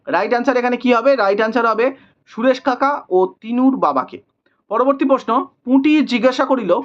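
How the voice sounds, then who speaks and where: A teacher giving a question-and-answer exam lesson in a didactic way, repeating questions and answers for emphasis. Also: mid-range voice. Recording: slightly dull lo-fi sound.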